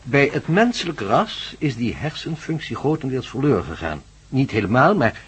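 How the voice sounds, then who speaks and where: A man's voice: medium pitch, sing-song, normal speed, a bit bright, breathy edge, full character voice. An actor playing a learned, fatherly older professor in a radio drama, speaking in a precise, didactic way. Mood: lecturing.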